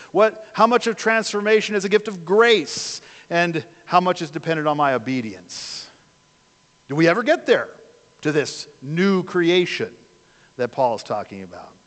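A man's voice: fairly high pitch, a bit bright. An older man preaching a sermon from the pulpit, conversational and full of rhetorical questions, picked up by a camera at the back of the church. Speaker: pastor. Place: church sanctuary, speaking from a pulpit. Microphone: far camera at the back of the church. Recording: long church hall reverb.

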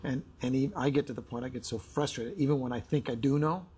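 A man's voice: medium pitch, fast talker, mellow, husky. A middle-aged man talking candidly and earnestly as an interview guest, explaining his side. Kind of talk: candid personal conversation. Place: indoor studio living-room set.

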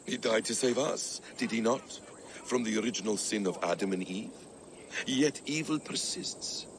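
A brooding, sly warrior-scholar from a video game , acted by a man. The voice sits low but moves expressively, with a sardonic, probing manner. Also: expressive, moderate pace, noisy background, close to an everyday voice. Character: wry, shrewd, brooding male warrior-scholar, a sly schemer. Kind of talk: video game cutscene acting.

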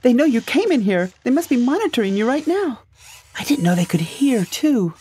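A woman's voice: on the high side, sing-song, normal speed, warm tone, a little hiss or hum. A woman playing a secretive, breezy ex-wife in a dystopian audio drama. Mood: alarmed.

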